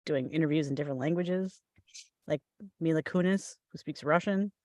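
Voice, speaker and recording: A woman's voice: low-pitched, moderate pace, darkish tone, no echo. A woman chatting casually in a group conversation.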